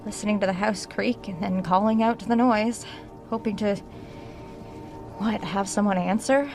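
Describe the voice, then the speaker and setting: Woman acting lower-voiced, uneasy haunted-house investigator. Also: moderate pace, darkish tone, soft background music, lightly acted. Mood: wry, uneasy. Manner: conversational, wry, self-doubting. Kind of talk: horror audio drama journal narration.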